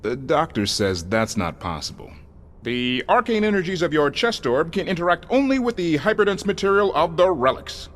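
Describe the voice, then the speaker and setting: A man's voice: a little high, very animated, normal speed. A man playing a good-natured creature hero in a video game, speaking in an easygoing, dry manner. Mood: wary. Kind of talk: video game cutscene acting.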